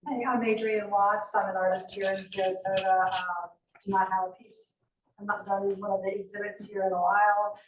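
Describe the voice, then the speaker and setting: A woman's voice: mid-range voice, flat delivery, normal speed, far from the mic, slight background noise. A woman artist introducing herself to a group in a matter-of-fact way. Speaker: local artist. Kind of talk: self-introduction. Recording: echoey room from afar.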